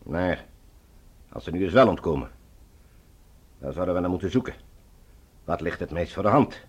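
An actor playing the cold ruler of a Mars colony in a science fiction radio drama, measured, authoritative and condescending. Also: male voice, low voice, lively intonation, breathy edge, heavily acted. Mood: calculating.

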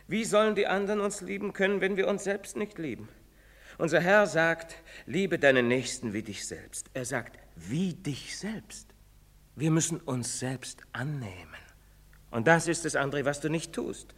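An actor playing an earnest priest in a stage drama, pleading and moralizing in rhetorical style. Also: male voice, a little high, a little slow, fairly bright tone. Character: earnest, preaching priest, later old and guilt-ridden. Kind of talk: theatrical stage drama acting. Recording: reverberant theatre stage recording.

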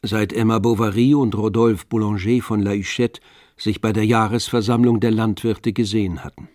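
Male narrator for literary audio drama: composed, measured, fairly low register. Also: fairly even intonation, darkish tone, no echo, studio quality.

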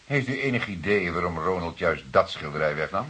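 An actor playing a composed, probing police detective in a Dutch radio play, speaking formally and deliberately with courteous insistence. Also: male voice, low-pitched, expressive, sharp tone, slightly rough, heavily acted.

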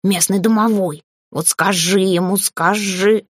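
A woman's voice: mid-range voice, steady tone, a little slow, slightly rough, dry recording, clean studio sound. A woman voicing a pampered, boastful male cat familiar who complains in a whiny, dramatic way in a storybook narration. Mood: outraged, pleading.

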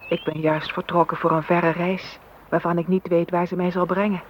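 A man's voice: high voice, little pitch movement, normal speed, lo-fi sound, lightly acted. A man playing a wistful, anxious flowing stream in a poetic radio play. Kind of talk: Dutch radio play acting. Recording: noisy old radio play recording.

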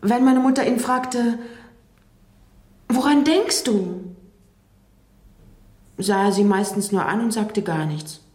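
An actress playing a reflective grown daughter looking back on her father in a measured radio drama monologue. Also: mid-range voice, darkish tone, roomy sound, lightly acted. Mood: wistful, pensive. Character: grown daughter recalling her withdrawn late father, pensive.

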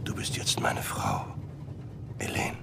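A man's voice: slow, in a whisper, natural voice. A man acting an effusive, doting bridegroom in a radio play, speaking in a florid, declamatory purr. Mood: tender. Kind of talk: theatrical radio drama acting. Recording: music behind the voice.

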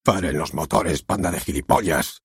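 A man voicing an explosive, self-dramatizing male theater director who rants, swears and shouts in a gravelly, husky voice. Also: a bass voice, normal speed, no room sound, studio quality, heavily acted. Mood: dramatic.

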